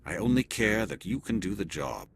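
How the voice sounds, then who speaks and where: An actor playing a male turian alien agent in a video game cutscene, calm and clipped with quiet authority. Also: lowish voice, normal speed, creaky, slightly rough, exaggerated voice. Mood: measured. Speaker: elite agent observing a mission.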